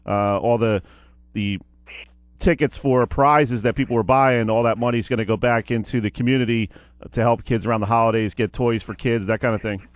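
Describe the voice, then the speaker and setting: A man's voice: medium pitch, fairly even intonation, brisk, no room sound. A man hosting a sports radio show, asking chatty questions with frequent fillers and restarts. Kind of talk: casual radio interview. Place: radio studio.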